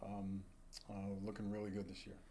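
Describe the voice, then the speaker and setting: A middle-aged man, a college soccer coach, answering in a measured way with fillers in a studio. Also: on the low side, monotone, dark tone, a little hiss or hum. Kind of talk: casual interview answers.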